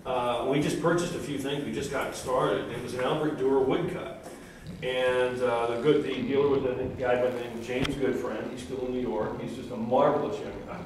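A man's voice: mid-range voice, steady tone, far from the mic, noisy background. Man speaking from across an echoey gallery room. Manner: chatty, anecdotal, quick to laugh. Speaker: print collector on a panel. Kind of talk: casual panel discussion, storytelling. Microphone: far camera.

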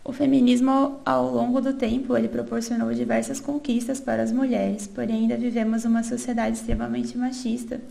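Gravelly young Brazilian woman presenting research. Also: a little high, little pitch movement, a little fast, hardly any pauses, mellow. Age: young adult. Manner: reads steadily from notes with small restarts and filler "é". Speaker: student presenter. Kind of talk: reading a research presentation over slides.

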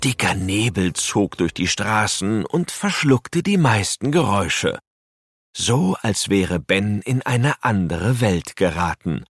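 A man's voice: lowish voice, lively intonation, slow pace, breathy edge, no echo. A man narrating a scripted children's story as its storyteller, close to the microphone.

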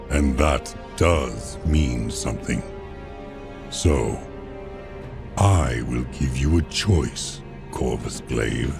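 Big alien warlord, super low n slow, calm, solemn, merciless comic book villain. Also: male voice, vocal fry, exaggerated voice. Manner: measured, solemn, threatening.

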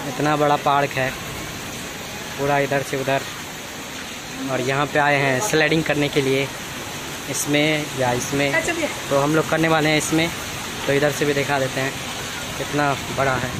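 A young man vlogging around an outdoor water park, chatty and excited as he shows the slides. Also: medium pitch, normal speed, pauses often. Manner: excited, chatty tour-guide talk. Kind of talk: casual travel vlog. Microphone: phone held by hand. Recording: phone recording with clear background noise.

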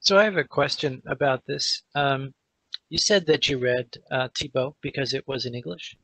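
Male host on a video call, gravelly and bright timbre, measured questioning. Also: mid-range voice, rough recording. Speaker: interview host.

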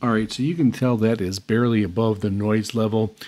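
A man giving an unscripted hands-on demonstration as host, speaking haltingly with very frequent uhs. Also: lowish voice, steady tone, a little mellow. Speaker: host demonstrating shortwave antennas. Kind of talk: unscripted product demonstration commentary. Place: indoors at a radio desk.

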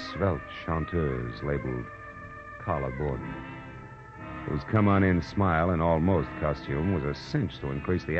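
A man playing a wry, tough American private detective in a 1940s-style radio drama, wisecracking as he narrates his case. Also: extremely low pitch. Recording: dull old radio recording with music.

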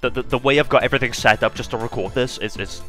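A young man with a Welsh accent, commenting casually on a game with self-mocking asides, swearing and stammered restarts, his throat strained from shouting. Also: mid-range voice, fast talker, faint music behind. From Wales. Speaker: gaming video host.

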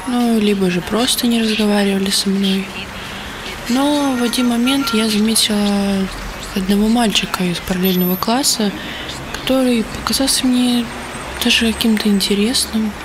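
A young woman playing a lonely, despairing schoolgirl in an audio play, confiding haltingly. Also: medium pitch, natural voice. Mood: upset. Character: lonely, despairing teenage schoolgirl pouring out her troubles. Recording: heavy background noise.

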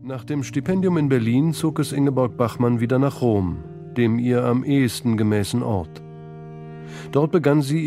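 A man reading scripted documentary narration for a radio feature in a measured, sober, literate manner. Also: medium pitch, mellow.

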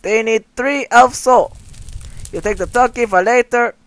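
A man doing a squeaky goofy cooking host. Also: measured pace, vocal fry, fairly bright tone, no room sound, exaggerated voice. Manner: rambling, silly, self-interrupting. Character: eccentric rambling male cooking-show host. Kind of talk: comedic game-video voice acting.

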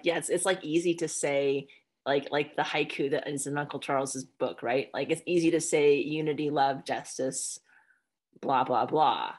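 A woman's voice: low voice, a little mellow. A young woman, an author, speaking casually on a video call from home, with many "like" fillers. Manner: casual, filler-heavy with frequent "like". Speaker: guest author. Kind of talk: casual conversation. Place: home room, indoors.

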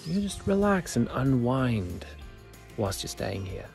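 A young man narrating a vlog in a casual, relaxed, informal way, explaining things as he goes. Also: mid-range voice, unhurried, vocal fry. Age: young adult. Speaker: vlogger. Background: music.

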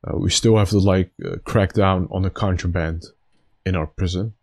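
A man with a slightly raspy, stuffed-up voice giving casual gameplay commentary, thinking aloud in a halting way. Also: low-pitched, steady tone, darkish tone. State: stuffy nose after being sick. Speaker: gaming video host.

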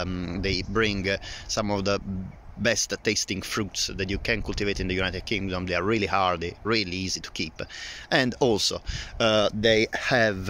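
A man with a low, deep voice and a delivery talks through his allotment plants. He hesitates often, filling gaps and restarting sentences. He records outdoors on a handheld phone, with faint outdoor ambience behind him. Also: a bit bright, slight background noise. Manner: hesitant, many fillers and restarts. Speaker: gardening vlogger. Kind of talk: casual walkthrough vlog. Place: outdoor allotment among fruit canes.

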